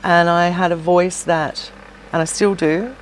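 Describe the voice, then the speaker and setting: Low-voiced chatty woman, interview guest. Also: fairly even intonation, a little slow, a little hiss or hum, rough recording. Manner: chatty and warm, many fillers and restarts. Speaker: podcast guest, shop owner and former singer. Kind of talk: casual interview chat.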